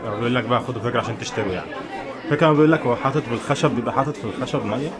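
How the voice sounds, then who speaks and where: A man's voice: mid-range voice, racing. A man from Egypt with an Egyptian accent, chatting casually to viewers as a travel vlogger. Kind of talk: casual vlog chat to viewers. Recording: busy crowd noise around the voice.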